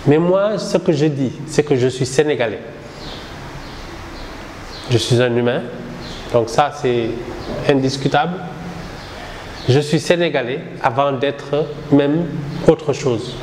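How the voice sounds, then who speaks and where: Slow, reflective man in a roomy space. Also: mid-range voice, a little mellow, a little hiss or hum. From Senegal. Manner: measured, reflective, gestures a lot. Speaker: satirical newspaper founder. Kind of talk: interview answers. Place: small indoor room with poster backdrop. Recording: roomy with reverb and faint ambience.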